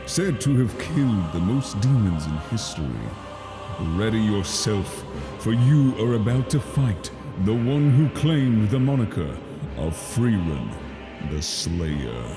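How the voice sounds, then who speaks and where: Man doing a slow, grave game boss announcer. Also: fairly low pitch, lively intonation. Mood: ominous, reverent. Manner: solemn, portentous, deliberate. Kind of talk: dramatic scripted boss-introduction narration. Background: dramatic music.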